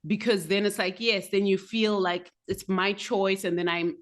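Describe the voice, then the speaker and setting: A woman from Zimbabwe, co-hosting a podcast and talking frankly in a relaxed conversational way. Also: medium pitch, moderate pace. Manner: frank, conversational, lightly laughing asides. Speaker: podcast co-host. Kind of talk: candid casual chat.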